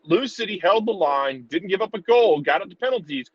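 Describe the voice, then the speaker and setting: A man's voice: high voice, lively intonation, brisk, husky, no echo, lo-fi sound. A man giving casual sports analysis in an informal, conversational way, repeating words for emphasis. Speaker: soccer show commentator.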